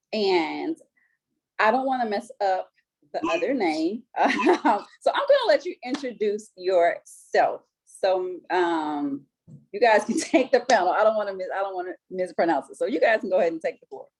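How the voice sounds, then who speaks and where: A woman's voice: mid-range voice, darkish tone. A woman in her forties moderating an alumni panel in a chatty, encouraging way.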